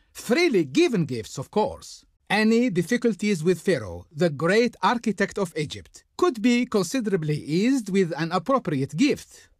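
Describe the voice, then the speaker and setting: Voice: mid-range voice, no room sound, studio quality. An actor playing a haughty, grandiose Egyptian diplomat in a video game, speaking in a pompous, formal, declamatory way. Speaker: game character, faction envoy. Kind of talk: theatrical video game voice acting.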